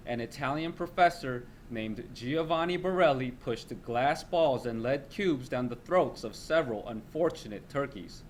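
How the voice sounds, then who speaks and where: A man's voice: mid-range voice, a little hiss or hum. A man reading a children's nonfiction text aloud as a teacher.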